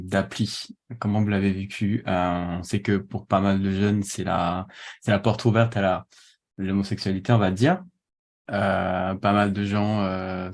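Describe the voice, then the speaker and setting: A man co-hosting a podcast, welcoming guests and asking questions in a friendly, casual way. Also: sits low. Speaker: podcast co-host.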